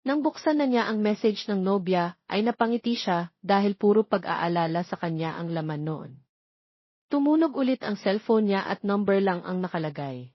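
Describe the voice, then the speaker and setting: A woman's voice: mid-range voice, expressive, normal speed, dry recording, lo-fi sound. A woman with a Filipino accent reading a scripted romance story as its narrator.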